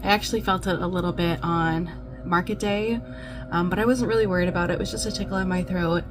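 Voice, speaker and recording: A woman's voice: fairly low pitch, steady tone, fast. Chatty young woman with background music. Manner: chatty, upbeat, many ums. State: losing her voice from a cold. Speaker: crochet vlogger. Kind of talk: casual vlog chat.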